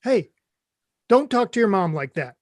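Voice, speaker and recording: A man's voice: sits high, big pitch swings, normal speed, no echo. A man playing a clueless, chummy middle-aged boyfriend in a comedy script read, earnest and a bit rambling. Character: Craig, mom's 55-year-old boyfriend, clueless, eager, chummy.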